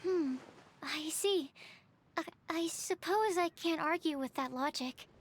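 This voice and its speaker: A woman's voice: extremely high pitch, slow pace, a little hiss or hum, exaggerated voice. A woman playing a polite, earnest, bookish young woman researcher in an anime video game, speaking carefully. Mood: thoughtful. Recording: background music.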